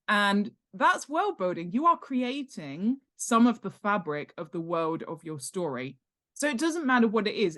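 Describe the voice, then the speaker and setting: A woman chatting casually as a guest expert in an interview, in a chatty, jokey and emphatic way. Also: on the low side. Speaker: guest author and world-building expert. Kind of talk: casual podcast interview chat.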